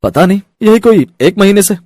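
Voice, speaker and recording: Man playing an easygoing young husband, lively higher voice. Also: moderate pace, no room sound, clean studio sound. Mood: agreeable. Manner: chatty, agreeable. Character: easygoing, supportive young husband and dutiful son. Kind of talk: Hindi cartoon moral story.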